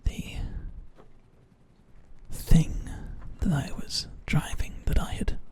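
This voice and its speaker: A man whispering reflective ASMR narration over gameplay into a binaural microphone, in a soft, musing way. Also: very slow. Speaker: ASMR gaming host.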